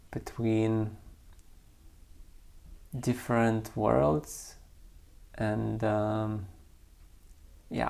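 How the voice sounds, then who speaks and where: A man whose first language is not English, reading his own poem and then musing about it slowly and hesitantly, searching for words. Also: mid-range voice, vocal fry, a little mellow. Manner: slow, searching, hesitant with many pauses. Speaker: poet and channel creator.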